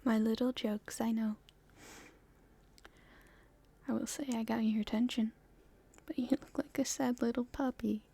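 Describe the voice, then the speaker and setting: Woman acting a breathy, whispering young princess. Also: a little high, fairly even intonation, moderate pace, dark tone. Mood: playful, teasing. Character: playful young princess, tender, teasing, secretly in love. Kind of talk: intimate ASMR romance roleplay to the listener.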